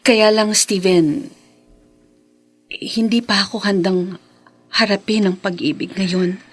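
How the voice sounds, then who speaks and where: An actress with a Filipino accent playing a grateful, softened widow in an earnest, emotional radio drama style. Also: female voice, medium pitch, fairly even intonation, slow pace. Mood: tender, hopeful. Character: reformed vengeful widow, grateful, softened, guarded in love.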